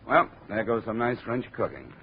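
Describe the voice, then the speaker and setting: An actor in a 1950s radio drama playing a wry, hard-boiled American insurance investigator in clipped, dry, world-weary speech. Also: male voice, lowish voice, very animated, moderate pace, vocal fry, lo-fi sound, heavy background noise. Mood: wry, sombre narration. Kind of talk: 1950s radio detective drama with first-person narration. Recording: band-limited old radio recording.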